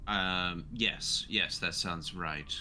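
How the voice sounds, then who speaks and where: A man with a deep but bright, forceful voice plays a tabletop roleplaying game. He chats casually, moving between his own talk and his character's lines, over audible background noise. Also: slow pace. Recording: background noise, room ambience.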